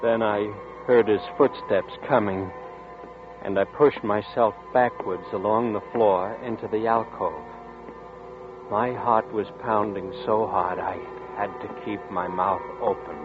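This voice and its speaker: Actor as a nervous young murder suspect on an old 1940s radio play, lowish voice, tinny sound with music behind. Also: male voice, fairly even intonation, lightly acted. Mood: tense narration. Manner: nervous, halting, stammering. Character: frightened young man accused of murder. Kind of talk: 1940s radio drama, first-person narration.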